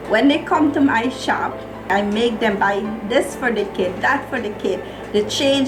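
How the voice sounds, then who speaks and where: A woman's voice: fairly high pitch, background noise. A middle-aged woman with a Guyanese accent tells her own story in plain-spoken, colloquial interview answers. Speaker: small business owner being interviewed. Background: music.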